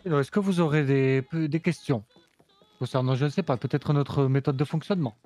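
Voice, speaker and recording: Man doing a German-accented supplier: measured, smooth seller, higher-pitched, dry recording. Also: a little mellow, dry recording, lightly acted. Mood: calm selling. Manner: smooth, measured salesman talk. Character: composed male foreign supplier, businesslike. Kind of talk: roleplay in character.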